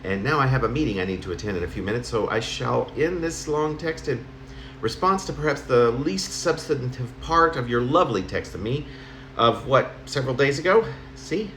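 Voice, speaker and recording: A middle-aged or older man reading his own letter-like poem aloud in a halting, conversational way, correcting himself as he goes. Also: mid-range voice, animated, fairly bright tone. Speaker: poet reading own work. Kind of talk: spoken poem reading in the form of a personal letter. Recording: roomy home recording, faint noise.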